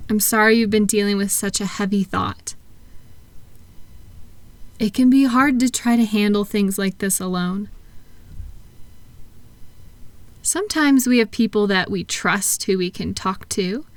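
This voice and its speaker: A woman playing a kind, patient therapist in a relaxation roleplay audio, speaking softly and gently in an unhurried, reassuring way. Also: medium pitch, dry recording, lightly acted. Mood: sympathetic, gentle. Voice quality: soft-spoken, gentle. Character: kind, patient female thought-calming therapist soothing a client. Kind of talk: soft-spoken roleplay relaxation audio for sleep.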